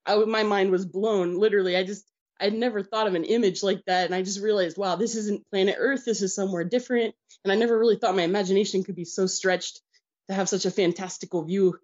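A woman's voice: medium pitch, darkish tone, muffled recording. An American woman, a guest telling stories in an enthusiastic, casual way and laughing easily. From United States. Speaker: podcast guest. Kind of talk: casual interview chat.

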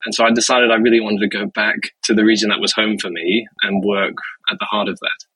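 A man from Zimbabwe, a business executive answering interview questions in a measured, explanatory way with frequent fillers. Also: fairly low pitch, level tone, bright, forceful tone, slightly rough, dry recording, rough recording.

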